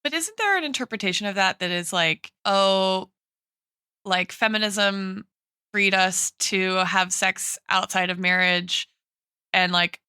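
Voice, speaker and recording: Woman podcast cohost, bright n crisp voice, dry sound, academic but tentative, thinking out loud. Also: mid-range voice, measured pace, no echo. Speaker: podcast co-host. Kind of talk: analytical conversational discussion.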